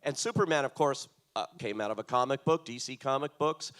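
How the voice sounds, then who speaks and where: A man's voice: mid-range voice, fairly quick, fairly bright tone, smooth. A man interviewing a guest on a conference stage, asking questions in a friendly, enthusiastic way. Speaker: interviewer, film critic.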